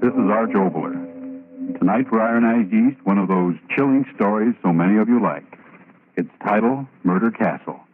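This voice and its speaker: A man's voice: fairly low pitch, moderate pace. A man hosting in 1940s radio style, giving a scripted introduction. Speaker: radio host. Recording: muffled, noisy old radio recording.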